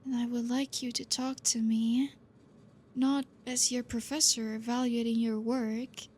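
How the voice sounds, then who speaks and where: A woman voicing a gentle, possessive female vampire professor in an ASMR roleplay, talking softly and coaxingly and slipping into whispers. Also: fairly high pitch, flat delivery, slight background noise, lightly acted. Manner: soft, slow and coaxing, drifting into whispers.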